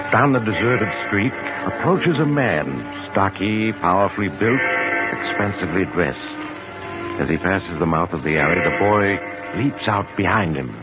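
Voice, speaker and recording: A man hosting and narrating a 1950s radio mystery in dramatic, deliberate storyteller phrasing. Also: deep voice. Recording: muffled old radio recording with loud music.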